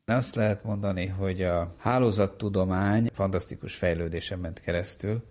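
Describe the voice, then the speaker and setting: A man's voice: low voice, darkish tone. A man who is a physics professor, explaining his field in an interview.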